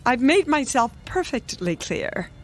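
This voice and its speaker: An actress playing a vain, imperious older wife in a radio drama, theatrical and indignant. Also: female voice, sits high, big pitch swings, measured pace. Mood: indignant, haughty. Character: vain, haughty older wife resisting a hearing aid.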